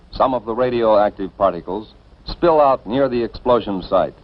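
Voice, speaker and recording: An American man reading scripted 1950s instructional film narration in an authoritative, deliberate manner, addressing the listener directly and emphatically. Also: medium pitch. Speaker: narrator of civil defense educational film. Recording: dull band-limited vintage film soundtrack.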